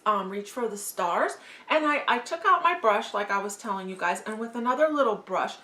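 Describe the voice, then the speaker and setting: Bright-voiced gushing woman beauty vlogger. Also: fairly high pitch, roomy sound. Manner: gushing and enthusiastic, with frequent fillers. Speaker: nail polish vlogger. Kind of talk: casual beauty tutorial talk.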